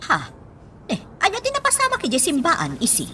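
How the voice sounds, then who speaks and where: A woman's voice: very high voice, brisk, slight background noise, full character voice. An actress with an Ilocano Filipino accent playing a caring, worried middle-aged mother in a radio drama. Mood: worried, questioning. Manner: expressive, swooping.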